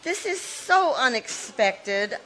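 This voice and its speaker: A woman voicing a scheming, fretful spinster who stammers and frets to spread gossip. Her high voice swings up and down, bright and sharp. It is pure 1940s radio drama. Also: big pitch swings, exaggerated voice, rough recording. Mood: pleading. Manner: halting, stammering show of distress. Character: scheming, nervous-seeming spinster sister. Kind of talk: live recreation of 1940s radio mystery acting.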